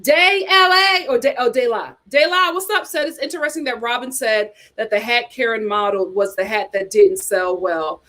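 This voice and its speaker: A woman's voice: fairly high pitch, normal speed, few pauses, sharp tone. A woman hosting a casual reality TV chat, reading viewer comments aloud with dramatic exclamations.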